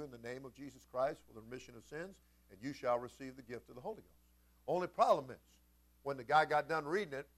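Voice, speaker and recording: A man preaching a sermon as a pastor, folksy and informal, moving between conversational storytelling and emphatic, animated delivery. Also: medium pitch. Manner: animated, swinging from quiet conversational asides to loud emphatic shouts. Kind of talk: Pentecostal-style sermon with storytelling.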